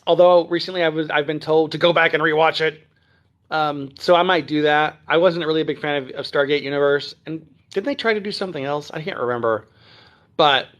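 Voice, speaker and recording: A man from Texas who speaks standard American, hosting a casual phone livestream from home and chatting through viewer comments with many ums and throat clears. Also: a little high, fairly bright tone. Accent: none, trained standard American. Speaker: livestream host. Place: at home near Dallas.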